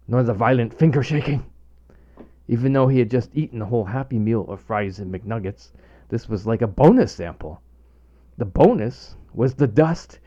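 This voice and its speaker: Middle aged guy reading his funny story, relaxed soft-edged voice, kinda dull lofi sound. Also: mid-range voice. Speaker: author narrating own story. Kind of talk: scripted humorous story narration. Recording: dull, lo-fi home recording.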